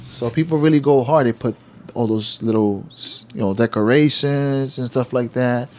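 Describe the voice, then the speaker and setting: A man's voice: medium pitch, moderate pace, darkish tone. Laid-back man in his thirties. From New York. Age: 30s. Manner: laid-back, rambling, says "bro" often. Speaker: podcast co-host. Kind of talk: casual chat.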